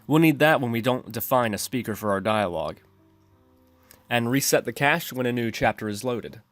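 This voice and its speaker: Male tutorial narrator, mid-pitched, methodical step-by-step delivery, music underneath. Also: animated, moderate pace, a bit bright, no room sound. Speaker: programming tutorial host. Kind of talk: instructional screencast narration. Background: background music.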